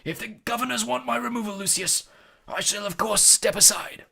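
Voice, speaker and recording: A man voicing a calm, steely old headmaster in a storybook, speaking politely, clearly and deliberately. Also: high voice, pitched above the performer's own voice, heavily acted. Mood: firm.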